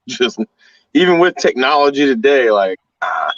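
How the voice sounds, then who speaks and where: A man co-hosting a live stream, blunt and crude in casual banter, quick with comebacks. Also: mid-range voice, big pitch swings, no echo, muffled recording. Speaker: livestream co-host.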